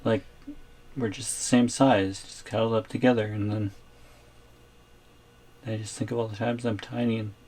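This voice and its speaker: A man's voice: lowish voice, level tone, moderate pace, pauses often, a little mellow, close to an everyday voice. A man playing a reluctant, nervous captive boyfriend in an ASMR roleplay, hesitant and mildly grumbling. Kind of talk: ASMR roleplay audio drama.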